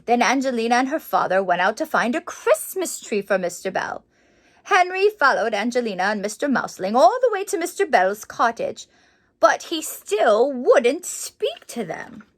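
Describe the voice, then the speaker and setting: A woman in character as a children's storyteller, reading in a theatrical, gushing manner with exclamations and dramatic pauses. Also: on the high side, very animated. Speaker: children's storybook reader in character as Mrs. Claus. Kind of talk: animated storytelling for young children.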